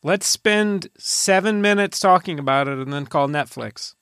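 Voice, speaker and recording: A male podcast host with a slightly high-set voice and a very dry, close sound. He chats casually and comedically, spinning out absurd schemes off the cuff. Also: moderate pace, fairly bright tone, clear, resonant tone, no echo.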